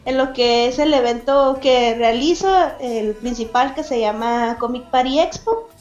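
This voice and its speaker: A woman's voice: on the high side, a little slow, a little mellow, roomy sound, muffled recording. A woman from Mexicali, a cartoonist chatting as an interview guest, full of fillers and restarts. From Mexicali, Baja California, Mexico. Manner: chatty, many fillers and restarts, laughs often. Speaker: guest, cartoonist and event organizer. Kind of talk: casual interview chat.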